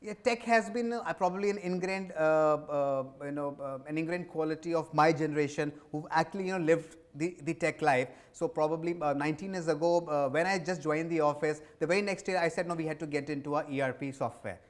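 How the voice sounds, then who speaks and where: A man's voice: on the high side, a little fast, few pauses. A man in his forties with an Indian accent, answering interview questions conversationally as a business executive, with emphatic repetition. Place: indoor office lounge set. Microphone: lapel mic.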